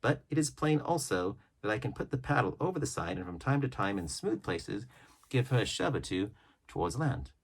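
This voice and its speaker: A male audiobook reader narrates an adventure tale in a slightly deep, noticeably husky voice. His delivery is expressive and measured, with dramatic phrasing suited to a classic storybook read-aloud.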